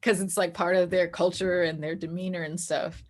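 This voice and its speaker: A woman chatting casually as an interview guest, animated and quick to laugh, with a storyteller's way of talking. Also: lowish voice. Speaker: interview guest, tabletop game master. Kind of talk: casual interview chat, telling anecdotes.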